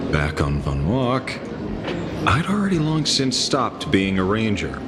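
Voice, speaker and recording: Game veteran: deep voice, laconic, knowing, tired of the fight, wryly doubtful. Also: male voice. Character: world-weary, wry male former ranger, skeptical and unruffled. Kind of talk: scripted video game dialogue.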